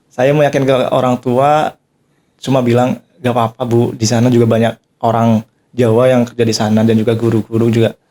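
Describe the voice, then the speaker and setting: A man's voice: medium pitch, brisk. Polite young man. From Tegal, Central Java. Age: young adult. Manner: polite, steady, agreeable answers. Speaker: cadet guest. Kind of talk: casual podcast interview.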